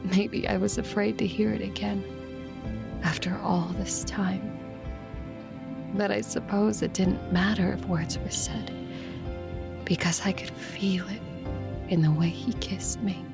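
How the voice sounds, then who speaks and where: A woman's voice: medium pitch, steady tone, measured pace, vocal fry, pauses often. A woman playing a guarded, determined leader in love, acting and narrating an audio drama with intimate restraint. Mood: tender, wistful. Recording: music bed under the voice.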